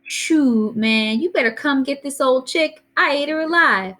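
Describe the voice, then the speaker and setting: A woman's voice: high voice, a little slow, a bit bright, voice pushed up. A woman voicing a cool, street-smart teenage boy who talks slangily out of the corner of his mouth in a storybook reading. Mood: cocky.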